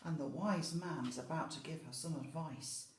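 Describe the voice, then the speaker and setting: A woman's voice: deep voice, moderate pace, a little mellow. A woman infant school teacher telling a story to young children, explaining simply and speaking to them directly. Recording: roomy, echoey sound.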